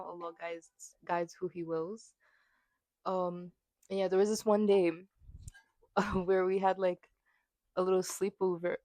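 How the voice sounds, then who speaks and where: A woman's voice: lowish voice, a little mellow, no echo. A woman in her early twenties, raised in New York, co-hosting a podcast in a chatty, giggly way with many fillers. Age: 20s. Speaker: podcast co-host. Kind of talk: casual chat. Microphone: small desk mic.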